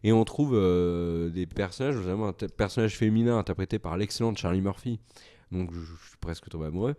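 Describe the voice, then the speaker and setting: Male solo reviewer, French accent, slightly low voice, hesitant and filler-heavy delivery. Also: mellow. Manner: hesitant, many fillers and restarts. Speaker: video reviewer. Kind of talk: solo casual review to camera.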